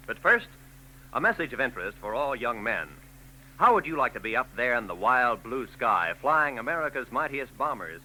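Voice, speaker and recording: A man announcing a 1940s radio program, with booming, salesman-like delivery. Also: bright, forceful tone, poor audio. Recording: old radio broadcast with hum.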